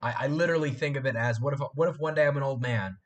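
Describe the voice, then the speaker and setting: An eighteen-year-old man hosting a casual live stream from a room at home, hoarse and congested from a cold, rambling and repeating himself as he chats with viewers. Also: mid-range voice, rapid-fire. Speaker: live stream host and fan film maker.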